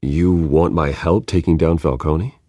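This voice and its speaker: A composed billionaire with a secret life. His voice is very low and recorded dry and close. He speaks in a measured, guarded, serious manner suited to a dramatic video game. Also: steady tone, a little mellow, dry recording. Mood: dry, probing. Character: guarded, composed adult male billionaire vigilante. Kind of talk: restrained video game acting.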